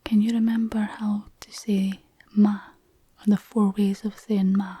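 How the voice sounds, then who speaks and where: Whispering woman, slow and soft. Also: mid-range voice, little pitch movement, husky, no echo. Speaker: language tutor, study buddy. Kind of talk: whispered ASMR Chinese lesson.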